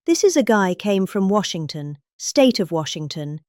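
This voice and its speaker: A woman's voice: medium pitch, very animated, moderate pace, a little mellow, dry recording, clean studio sound. A middle-aged woman with a British accent giving a dramatic reading of a rambling political speech, with exaggerated emphasis.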